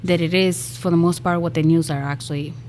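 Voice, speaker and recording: Venezuelan-born lady, soft and halting, kinda low voice. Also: steady tone, slight background noise. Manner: hesitant, many fillers, soft-spoken. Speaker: restaurant owner. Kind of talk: interview answers. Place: restaurant.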